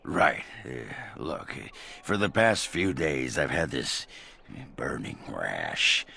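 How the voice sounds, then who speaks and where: A man playing a crude, awkward dwarf in a video game, with a very deep, gravelly voice and halting delivery. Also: very animated, pushed and bright, a little hiss or hum, heavily acted. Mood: awkward, sheepish.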